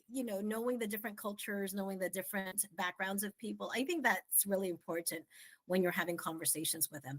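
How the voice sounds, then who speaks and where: A woman's voice: mid-range voice, no room sound. A woman hosting a radio chat show, upbeat and laughing often as she asks her co-hosts questions. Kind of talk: casual chat.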